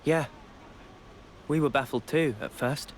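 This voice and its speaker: A man's voice: on the high side, unhurried, slight background noise, close to an everyday voice. A man voicing a friendly, polite young soldier in a video game. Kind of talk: video game cutscene dialogue.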